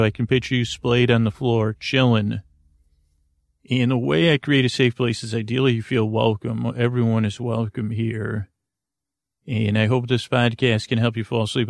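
A man hosting a sleep podcast in a creaky, lulling voice, meandering haltingly through tangents and interrupting himself as he goes. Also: mid-range voice, little pitch movement, no echo, studio quality. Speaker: podcast host. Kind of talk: rambling bedtime sleep podcast monologue.

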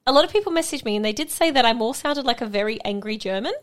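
A woman with a high voice co-hosts a casual podcast. She tells listener stories in a chatty, giggly way, bright and bubbly in pitch. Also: expressive, very fast. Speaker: podcast co-host. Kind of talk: casual chat.

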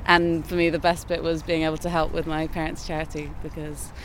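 A woman's voice: deep voice, little pitch movement, brisk, slight background noise, rough recording. A young woman music student who grew up in Sri Lanka, chatting easily outdoors in a snowy park. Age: young adult. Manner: easygoing, chatty. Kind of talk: casual street interview.

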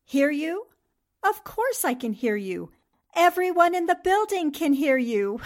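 A woman plays a skeptical lady reporter in a radio drama, amused and teasing. Her voice is high and very animated, with an unhurried, wry delivery. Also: heavily acted.

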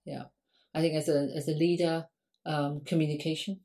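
A woman's voice: sits low, flat delivery, moderate pace, mellow. A woman from Singapore, an academic guest on a podcast, answering questions conversationally with frequent ums.

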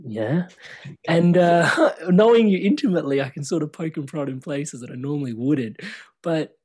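A man's voice: a little high, expressive, moderate pace, a little mellow. A man hosting a podcast, introducing and interviewing a guest in an effusive, rambling way. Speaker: podcast host.

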